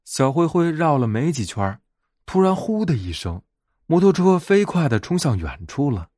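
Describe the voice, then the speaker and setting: A man narrating a bedtime fairy tale as a storyteller, in an expressive scripted read. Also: fairly high pitch, moderate pace, no echo, studio quality.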